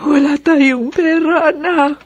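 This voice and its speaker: A woman's voice: high voice, steady tone, slow. A woman playing a sickly, grieving mother in a radio drama, sorrowful and weary but stubborn.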